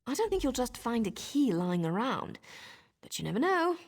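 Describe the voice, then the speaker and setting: Husky, sly assassin. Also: female voice, fairly high pitch, very animated, normal speed, full character voice. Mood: wry, teasing. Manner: teasing, wry. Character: sly, playful female assassin.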